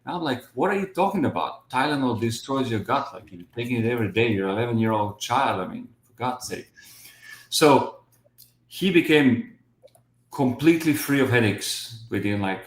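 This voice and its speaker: A man's voice: medium pitch, expressive, moderate pace. Croatian-accented man in his fifties, roomy echo. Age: 50s. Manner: rambling, many restarts and you-knows. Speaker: guest. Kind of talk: casual livestream chat.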